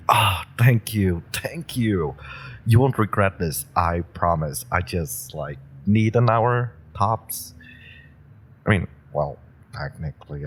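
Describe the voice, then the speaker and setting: A man's voice: low-pitched, heavily acted. A man playing a flustered young superhero rookie. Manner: rambling and flustered, stammers and laughs nervously. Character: nervous, eager young male superhero rookie. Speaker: audio roleplay performer. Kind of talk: audio roleplay drama.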